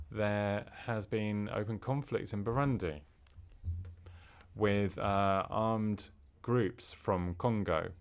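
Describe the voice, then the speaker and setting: A man interpreting a formal speech into English, halting, with fillers and restarts. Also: lowish voice, creaky, darkish tone.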